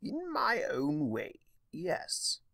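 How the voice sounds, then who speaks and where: A man's voice: on the high side, huge pitch swings, extremely slow, vocal fry, fairly bright tone, no room sound, studio quality, voice pushed up, full character voice. A man voicing a mischievous male chaos spirit in a storybook reading, with a theatrical, teasing drawl. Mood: brooding, sly.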